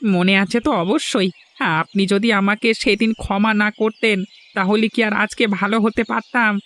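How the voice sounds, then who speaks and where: A man with a West Bengal accent voicing a reformed thief turned eatery owner in a cartoon, with a smooth-talking, self-justifying manner. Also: sits high, fairly even intonation, normal speed, a bit bright. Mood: humble, grateful. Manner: obsequious to betters, self-justifying. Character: ingratiating reformed male thief running cheap fish eatery. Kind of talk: cartoon voice acting.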